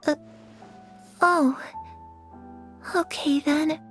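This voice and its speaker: An actress voicing a gentle, trusting teenage girl in a video game, sincere and wistful in the way she talks. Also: high-pitched, fairly even intonation, extremely slow, soft background music, heavily acted. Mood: accepting, curious. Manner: soft-hearted, sincere, accepting. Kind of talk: scripted video game dialogue.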